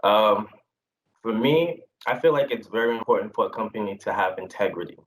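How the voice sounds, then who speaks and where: A young man from Haiti speaking as a panelist on a video call, earnest and measured. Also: fairly low pitch, animated, low-quality recording. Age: young adult. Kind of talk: virtual panel discussion.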